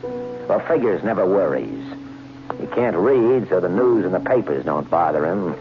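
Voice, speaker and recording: A man's voice: low-pitched, sing-song, measured pace, full character voice. An actor playing a small-time con man who narrates his own story in brash, sardonic, hard-boiled tones in a radio drama. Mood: narrating. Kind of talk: 1940s radio acting. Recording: muffled old radio recording with loud music.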